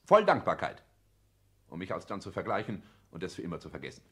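An actor in a 1960s German radio play, voicing a cold, calculating young careerist in formal, declamatory, precise speech. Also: male voice, deep voice, huge pitch swings, quick pace, fairly bright tone, full character voice. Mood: coldly formal. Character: cold, calculating young male social climber turned director.

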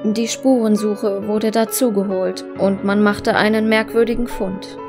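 A woman's voice: mid-range voice, steady tone, measured pace, close to an everyday voice. Woman acting as lady detective reading a police report, matter-of-fact, music playing behind. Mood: formal, sober.

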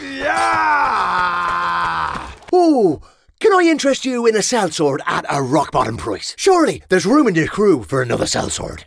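An actor playing a cocky, wry medieval sellsword with an Irish accent and colourful, theatrical delivery in a video game. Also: male voice, extremely high pitch, gravelly, a bit bright, no room sound, studio quality, heavily acted. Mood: battle fury, then pleading, bargaining. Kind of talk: video game battle barks and voice lines.

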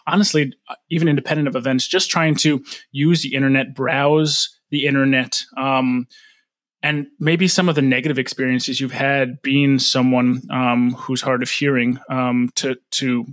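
A man in his thirties hosting a casual podcast interview, mid-pitched and friendly. He talks in a relaxed, halting way with many pauses, recorded close and dry on a studio mic at home. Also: no room sound. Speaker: podcast host. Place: home room. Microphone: studio microphone on boom arm.

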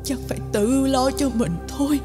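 A woman's voice: high voice, moderate pace, close to an everyday voice. A woman playing a weary, sick, doting village mother who pleads plaintively in a cartoon folktale. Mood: sorrowful. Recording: music under the voice.

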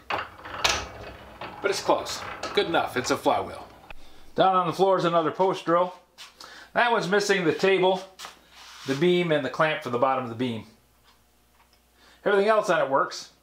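A man's voice: on the high side, a bit bright, a little hiss or hum. An older man giving a casual, folksy tour of his workshop, rambling through anecdotes with dry asides. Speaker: woodworker giving a shop tour. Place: cluttered basement workshop, indoors. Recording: echoey workshop room.

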